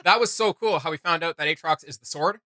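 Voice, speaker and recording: A man co-hosting a reaction video, talking casually and excitedly in an emphatic, slangy way. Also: a little high, fast talker, no echo.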